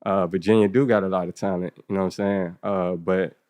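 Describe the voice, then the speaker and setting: A man in his thirties talks with a Southern American accent, in a deep and fairly level voice. He speaks as a relaxed podcast guest, easygoing and conversational. The studio sound is dry and close. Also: a little fast, dry recording. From United States. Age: 30s. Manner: laid-back, leans on "you know what I'm saying". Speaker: podcast guest, event organizer. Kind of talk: casual interview talk.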